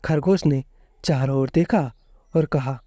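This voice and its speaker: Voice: medium pitch, unhurried, mellow, dry recording, highs cut off. A storyteller giving a scripted narration of a children's fable.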